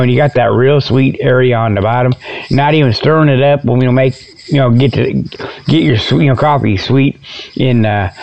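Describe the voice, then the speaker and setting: A man's voice: medium pitch, vocal fry, muffled recording. A man hosting a comedy show with a rambling, tongue-in-cheek monologue, folksy and colloquial, drifting off on tangents. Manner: folksy, colloquial grammar, digressive.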